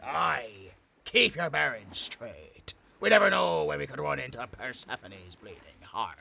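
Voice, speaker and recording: A gravelly, swaggering pirate. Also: male voice, medium pitch, sing-song, measured pace, sharp tone, slight background noise, heavily acted. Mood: alert, urgent. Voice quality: gravelly, raspy. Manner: theatrical, swaggering. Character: bold, bloodthirsty pirate gentleman shipmate missing a limb. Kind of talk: cinematic nautical audio roleplay acting.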